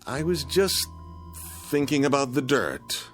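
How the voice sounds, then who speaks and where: An actor playing a mild, penny-pinching husband in a radio drama, genteel, fussy and wordy, often musing to himself. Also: male voice, mid-range voice, very animated, slow pace, a bit bright, soft background music. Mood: smug, mild. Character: mild, penny-pinching husband, eager about free bargains.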